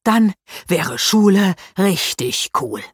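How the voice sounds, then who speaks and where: A woman reading aloud as a small, hot-tempered schoolboy who is quick to take offense. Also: on the low side, very animated, slightly rough, no echo, studio quality, full character voice. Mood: happy.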